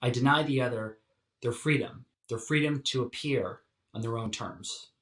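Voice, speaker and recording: A man delivering a scripted academic lecture in a measured, articulate, emphatic way, like a professor. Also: medium pitch, fairly bright tone. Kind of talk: scripted philosophy lecture narration. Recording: roomy, echoing sound.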